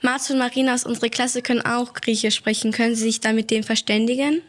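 Woman reading interview questions, fairly high and flat, pretty monotone. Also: fast talker. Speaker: pupil interviewer.

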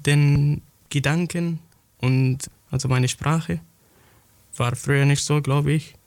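A teenage boy from Syria answers interview questions in careful, halting German, often searching for the next word. His voice has a gravelly creak, and the sound is dry and close with no room echo. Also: fairly high pitch, steady tone, a little mellow. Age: teens. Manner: halting, searching for words, non-native grammar. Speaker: young student writer interviewed.

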